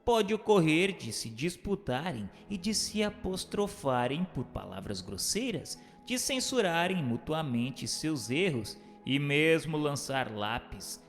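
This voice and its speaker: Animated male narrator in long reverb. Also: on the high side, slow pace, few pauses. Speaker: narrator and questioner. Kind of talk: scripted narration of a spiritist text. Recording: long hall reverb, music underneath.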